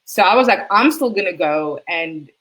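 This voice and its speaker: A woman from South Africa with a South African accent, a guest in a casual interview, telling her story in a chatty, lively way. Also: fairly low pitch, moderate pace, low-quality recording. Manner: chatty, animated storytelling with laughs.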